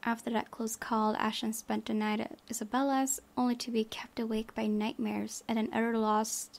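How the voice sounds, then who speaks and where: A woman's voice: on the high side, little pitch movement, normal speed, gravelly. A young woman hosting a let's play, reading visual novel narration aloud expressively.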